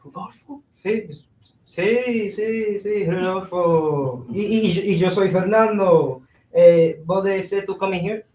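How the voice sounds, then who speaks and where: A man's voice: high voice, slow, mellow. A man playing a confident, scheming construction worker in a staged reading of a play. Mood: eager. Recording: roomy, distant, noisy microphone.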